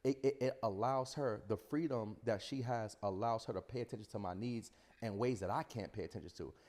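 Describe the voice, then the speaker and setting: A man's voice: fairly low pitch, fairly quick, a little mellow. A man telling his story in a conversational interview, emphatic and repeating phrases as he goes. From New York (the Bronx). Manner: emphatic, repeats phrases, checks in with "you know what I'm saying". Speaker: podcast guest, entrepreneur. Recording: reverberant hall-like room echo.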